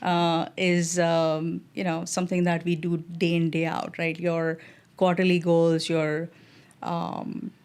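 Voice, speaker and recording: Soft-spoken Indian woman, measured. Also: lowish voice, monotone, smooth. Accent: Indian English. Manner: measured, many fillers and restarts. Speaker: guest, design executive. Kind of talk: podcast interview answers.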